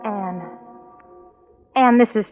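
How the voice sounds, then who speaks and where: A woman's voice: medium pitch, takes it slowly, natural voice. An actress playing a worried, protective young woman in an old-time radio drama, coaxing in an anxious, urgent way. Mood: alarmed, firm. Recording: tinny vintage radio recording with music.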